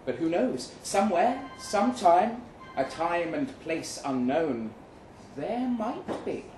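A man reading a first-person short story aloud dramatically, with measured delivery and deliberate pauses. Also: fairly high pitch, very animated. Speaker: short story reader at a live event. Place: event room in a pub. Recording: roomy, distant mic with faint noise.

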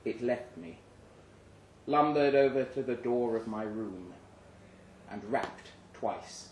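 Echoey male story reader, grave and measured. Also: medium pitch, darkish tone, a little hiss or hum. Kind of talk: dramatic first-person literary narration. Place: indoor live reading event. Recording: echoey live room.

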